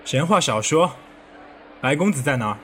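Animated, self-assured young nobleman, played by an actor. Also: mid-range voice, normal speed, a little hiss or hum, lightly acted. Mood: brisk. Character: brash, generous young master of a rich house. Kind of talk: Chinese period audio drama acting. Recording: clear music underneath.

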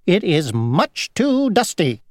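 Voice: mid-range voice, very animated, slow pace, dry recording, studio quality, pitched above the performer's own voice. A reader voicing an obsessively tidy housefly from a children's storybook, in a busy, insistent manner. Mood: fussy, earnest.

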